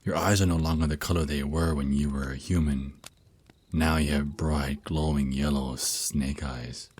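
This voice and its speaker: A man's voice: a bass voice, steady tone, slightly rough. A man voicing a teasing, protective vampire naga boyfriend who mentors his lover in an intimate, reassuring ASMR roleplay. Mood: caring, instructive. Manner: soft teasing endearments, reassuring, unhurried. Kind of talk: ASMR roleplay, intimate one-sided dialogue.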